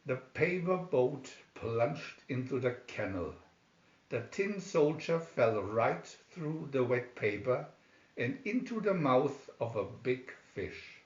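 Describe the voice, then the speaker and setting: A man reading a fairy tale aloud as scripted narration, with a deliberate, halting delivery. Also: mid-range voice, very animated. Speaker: storybook reader. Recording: echoey room, dull lo-fi sound.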